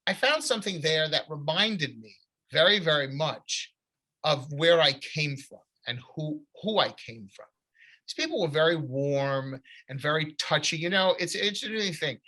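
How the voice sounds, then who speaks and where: A middle-aged man, an author telling long rambling stories from his family history in a relaxed conversation. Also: fairly high pitch, moderate pace, low-quality recording. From United States. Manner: long rambling anecdotes with restarts. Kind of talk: conversational storytelling.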